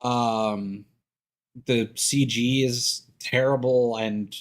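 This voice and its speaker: Rambling, halting male game streamer. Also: mid-range voice, steady tone. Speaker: live streamer. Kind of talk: casual rambling stream commentary.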